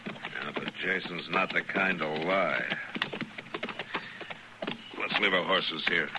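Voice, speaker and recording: Deep-voiced, weary frontier marshal. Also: male voice, slow. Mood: grave, weary. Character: weary, level-headed male frontier marshal. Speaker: lead actor. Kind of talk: old-time radio western acting. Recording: noisy old-time radio recording.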